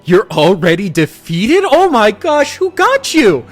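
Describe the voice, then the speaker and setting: Man acting a smug villain, male salesman, theatrical, mocking, menacing, story with soft music. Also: medium pitch, a little slow. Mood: mock surprise. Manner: theatrical, taunting.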